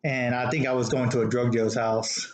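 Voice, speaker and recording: A man's voice: mid-range voice, flat delivery, brisk. An American man telling casual anecdotes as a co-host in a conversational, somewhat hesitant manner. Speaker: podcast co-host telling stories. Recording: roomy echo with background noise.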